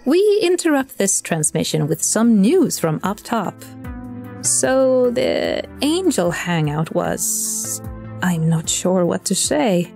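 Animated, soft-toned awkward newcomer. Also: female voice, medium pitch, slow pace, creaky, faint music behind, exaggerated voice. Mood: flustered. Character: socially awkward, flustered newcomer to Heaven narrating mishaps. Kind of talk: first-person audio drama monologue.

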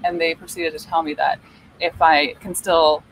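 A woman's voice: deep voice, steady tone, moderate pace, slight background noise, low-quality recording. A woman in her thirties from Hungary, whose first language is Hungarian, chatting as a sailing crew guest on a boat live stream. She answers in a friendly, easy way. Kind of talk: casual chat. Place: boat cockpit in a marina, outdoors.

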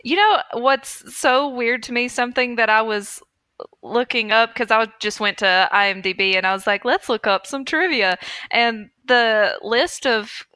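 Young woman, podcast co-host, slightly high bright voice, informal slangy chat. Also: measured pace, clear, resonant tone, no echo. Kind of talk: casual chat.

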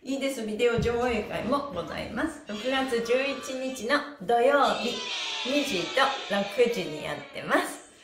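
A high-voiced middle-aged woman teaches a stretching class in a cheerful, encouraging way. She calls out moves and chants in a sing-song while exercising. The room is echoey, with music playing behind her. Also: expressive, measured pace. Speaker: stretching instructor, pantomime performer. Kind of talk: live-streamed exercise class with counted chants. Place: small bare-walled indoor studio. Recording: roomy echo with music behind.

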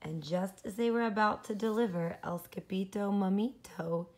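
A woman reading a children's story aloud, deliberate and explanatory, with simple asides for young listeners. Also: medium pitch, darkish tone. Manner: slow, deliberate, explains pictures and words to children.

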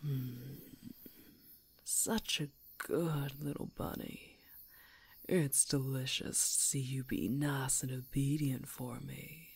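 A woman voices a female werewolf on the hunt, very low and husky. The delivery is extremely slow and breathy, mostly whispered in ASMR roleplay style. The beast sounds menacing and gloating, savoring control and teasing as it threatens. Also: fairly even intonation, no echo, heavily acted. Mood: predatory, savoring.

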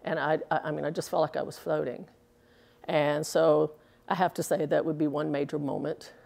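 A woman in her sixties talks in an interview, chatty and earnest, drifting off into fillers. Her voice sits low and has a gravelly edge. She is recorded close on a lapel mic in an indoor bowling alley. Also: flat delivery, moderate pace, darkish tone. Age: 60s. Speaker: interviewee, former pro bowler. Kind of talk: casual interview answers.

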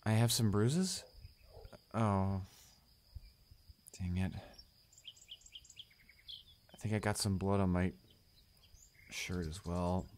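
A young man acting a shy, anxious, bullied high school student in an audio roleplay, stammering and hesitant. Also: takes it slowly, long pauses, darkish tone, slight background noise. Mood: sheepish, subdued. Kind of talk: romantic audio roleplay, one-sided dialogue.

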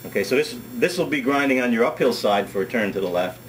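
A middle-aged man, a ski school director, demonstrating a hands-on task in a wood-paneled workshop, talking in a relaxed, chatty way and repeating words as he works. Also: mid-range voice, fast. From United States. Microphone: camera mic on a workbench. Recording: camera audio with steady background noise.

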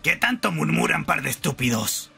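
Man doing explosive teen boy hero, anime, arrogant, forceful, rough-edged, aggressive. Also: a little high, sing-song, moderate pace, soft background music, heavily acted. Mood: irritated. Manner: aggressive, insulting.

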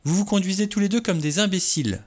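A man reading an audiobook, voicing a frightened young woman who speaks in a high, strained, urgent way. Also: lively intonation, normal speed, close to an everyday voice. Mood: insistent.

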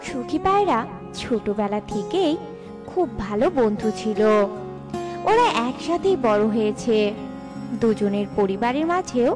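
Animated-sounding woman narrator over guitar music. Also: fairly high pitch, a little slow, long pauses. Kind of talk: scripted cartoon story narration. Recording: clear guitar music behind.

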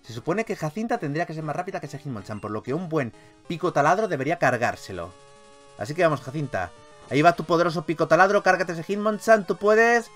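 A man from Spain hosts a gameplay video in a fairly high, very lively voice. His commentary is theatrical and foul-mouthed, with phrases often repeated. Faint game music plays behind him. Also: quick pace.

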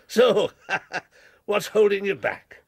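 A man's voice: high-pitched, drawn-out words, husky, dry recording, clean studio sound, exaggerated voice. An actor playing a blunt, eccentric, fat older businessman who wheels and deals in a radio drama. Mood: brisk, cajoling. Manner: blunt, probing, chuckling businessman. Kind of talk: BBC radio drama acting.

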